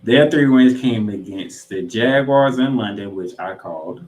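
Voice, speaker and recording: A man's voice: fairly low pitch, unhurried, a little mellow, a little hiss or hum. A man hosting a sports podcast, giving emphatic, opinionated commentary and game recaps. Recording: roomy, echoing voice pickup.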